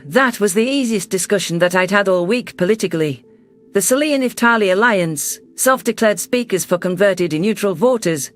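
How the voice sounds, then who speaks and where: A man reading first-person science fiction narration in a steady, measured, scripted audiobook style. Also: high-pitched.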